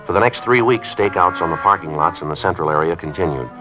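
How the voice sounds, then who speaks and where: Deep, deadpan police sergeant played by an actor. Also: male voice, fast, lightly acted. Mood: flatly factual. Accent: American. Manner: deadpan, terse, matter-of-fact. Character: stoic, businesslike male police sergeant. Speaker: lead actor and narrator of a police drama. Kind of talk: 1950s radio acting, clipped procedural narration. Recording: muffled old radio recording with music.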